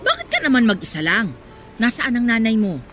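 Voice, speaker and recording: A woman's voice: a little high, wildly swooping pitch, a little fast, over loud music. An actress playing a nosy, pious older village woman who pries and admonishes in a Filipino radio drama. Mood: scolding, pious. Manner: prying, admonishing, emphatic.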